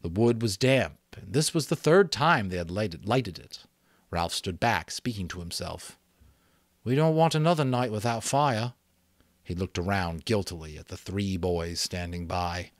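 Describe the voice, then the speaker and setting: A man reading an audiobook as a dramatic, expressive storyteller. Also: low-pitched, normal speed, dry recording, studio quality. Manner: expressive, theatrical. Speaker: audiobook reader.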